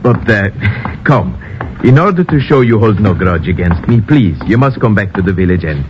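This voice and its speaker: An actor playing a courteous but wary foreign border lieutenant in an old radio drama, speaking formal, stilted English. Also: male voice, on the low side, lively intonation, moderate pace, natural voice. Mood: apologetic, firm. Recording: noisy, muffled vintage radio broadcast.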